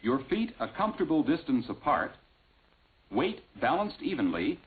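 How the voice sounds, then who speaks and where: A man reading scripted instructional narration in a measured, clearly enunciated way, on an old film soundtrack. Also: mid-range voice, sing-song. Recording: tinny, band-limited vintage film soundtrack.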